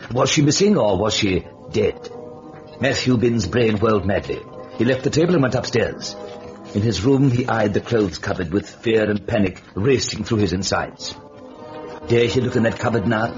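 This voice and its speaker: An actor playing a sardonic, ominous narrator of a suspense radio play, theatrical and taunting. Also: male voice, fairly low pitch. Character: sardonic, ominous male storyteller host. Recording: dull old radio recording, loud music.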